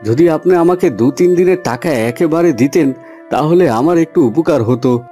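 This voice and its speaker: A man's voice: on the high side, normal speed, dark tone, close to an everyday voice. A man voicing a humble, hesitant poor farm labourer and father in a fairy-tale cartoon. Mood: hesitant, pleading. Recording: music under the voice.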